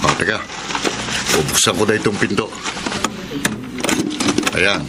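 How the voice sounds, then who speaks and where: An actor playing a hard-drinking, cowed younger brother in a Tagalog radio drama, colloquial and easily flustered, with a Filipino accent. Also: male voice, low voice, unhurried, very noisy. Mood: casual.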